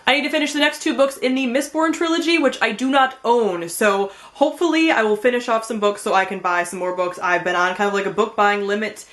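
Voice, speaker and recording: Higher-pitched young woman vlogging. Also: fairly quick, hardly any pauses, a bit bright. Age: 20s. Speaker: book vlogger. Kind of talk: casual talk to camera, book recommendations. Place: small indoor room with bookshelves.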